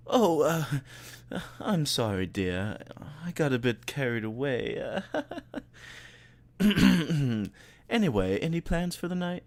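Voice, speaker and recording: A man voicing a genteel, gossipy, dramatic female cartoon pony in an affected, drawn-out manner. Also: a little high, very animated, pitched above the performer's own voice, exaggerated voice. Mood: apologetic, chatty. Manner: affected, drawn-out, calls listener darling.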